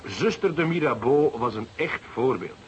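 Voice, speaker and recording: An actor playing an earnest junior police detective who reports and gently protests, in a Dutch radio drama. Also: male voice, medium pitch, lively intonation, moderate pace, lo-fi sound, lightly acted. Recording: hissy, noisy radio drama recording.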